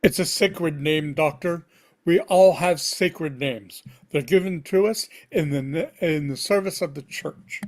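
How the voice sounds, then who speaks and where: A man's voice: fairly high pitch, unhurried, lightly acted. A man reading a stern, affronted military cleric commander at a script table read. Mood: affronted, commanding.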